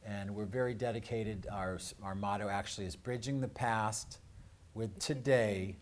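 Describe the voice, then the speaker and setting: Guy with a lowish voice hosting a live talk show, kinda rambling and halting, noisy live stream sound. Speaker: radio show host.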